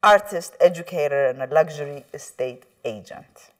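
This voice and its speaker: A woman's voice: sits low, animated, normal speed. Enthusiastic woman host, a bit distant. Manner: enthusiastic, affirming interjections. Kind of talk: interview hosting.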